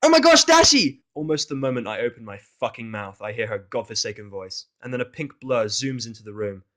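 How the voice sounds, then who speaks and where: A man's voice: on the low side, fairly quick. A man narrating fan fiction in an informal, exasperated way, swearing and slipping into casual asides.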